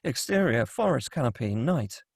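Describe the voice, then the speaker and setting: A man's voice: medium pitch, big pitch swings, normal speed, gravelly, breathy edge, no room sound, studio quality. A man reading scripted stage directions as the narrator of a screenplay table read.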